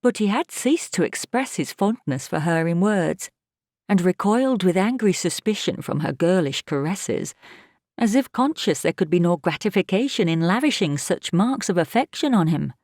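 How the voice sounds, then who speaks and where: An actress playing an observant, plain-spoken housekeeper who tells a story in measured audiobook narration. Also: female voice, lowish voice, lively intonation, a little mellow, no room sound, clean studio sound.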